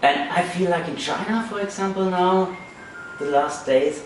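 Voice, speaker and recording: A man's voice: high voice, moderate pace, faint music behind. Man in an echoey room. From Germany. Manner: many restarts and repeated words, thoughtful. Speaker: musician interviewed. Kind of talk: casual interview chat. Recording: distant mic in a very echoey room with faint noise.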